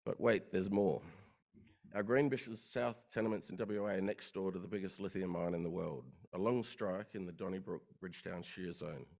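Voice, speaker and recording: A man delivers a conference pitch in a lowish voice. He moves briskly through rehearsed lists of numbers and points. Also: fairly even intonation, gravelly, darkish tone. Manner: brisk, rehearsed, listing facts. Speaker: company presenter. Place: conference room.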